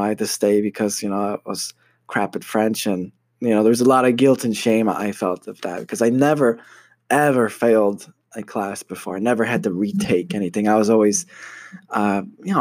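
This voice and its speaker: A man's voice: on the low side, a little mellow, no room sound. A young American man telling a personal story about his studies in a casual, hesitant way, full of fillers and restarts. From United States. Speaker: university student.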